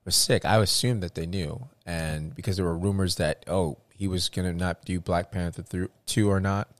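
Low-voiced man in rambling casual chat. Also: moderate pace, no room sound. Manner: rambling, animated, many restarts and fillers.

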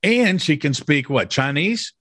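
A man's voice: a little high, lively intonation, a little slow, bright, forceful tone, dry recording, studio quality. A man hosting an interview who talks emphatically, repeats his points and often checks in with "okay?". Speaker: interview host. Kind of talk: promotional interview.

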